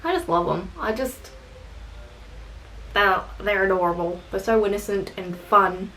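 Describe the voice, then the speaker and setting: A woman's voice: medium pitch, a little slow, sharp tone, a little hiss or hum, poor audio. A young woman with an Australian accent talks casually to her camera. Her chatter rambles from point to point, and she pokes fun at herself along the way. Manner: chatty and rambling, self-deprecating jokes. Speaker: vlogger. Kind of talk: casual tag video answering questions.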